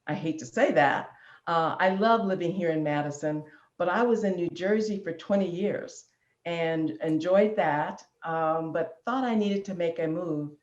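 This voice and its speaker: Low-voiced woman in a roomy room. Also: a little slow. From Illinois, USA. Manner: warm chatty, laughing easily, filler ums. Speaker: guest. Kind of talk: online interview. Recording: roomy, dull lo-fi sound.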